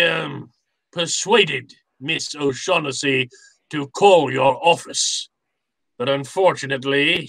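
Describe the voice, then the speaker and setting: Guy doing a sly older gangster boss for radio drama, kinda high voice, super polite n formal, takes his time. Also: pushed and bright, husky, no echo, heavily acted. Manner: florid, formal, chuckling politeness. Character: jovial, cunning, courtly crime boss, an older man.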